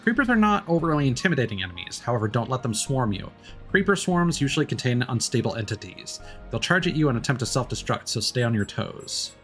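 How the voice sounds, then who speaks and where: A man's voice: medium pitch, sing-song, fast, fairly bright tone. A man live-streaming a video game, reading on-screen text aloud and commenting as he plays. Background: faint game music.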